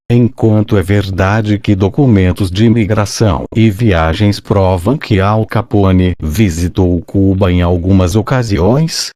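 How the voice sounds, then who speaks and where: A man's voice: low-pitched, a little mellow, dry recording, clean studio sound. A man with a Brazilian accent reading scripted documentary narration for a video channel.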